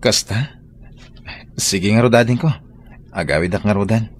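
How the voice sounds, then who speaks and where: A man playing a polite, patient gentleman suitor in a radio drama, speaking with a Filipino accent. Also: on the low side, normal speed, close to an everyday voice. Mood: polite, resigned. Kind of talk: Ilocano radio drama acting.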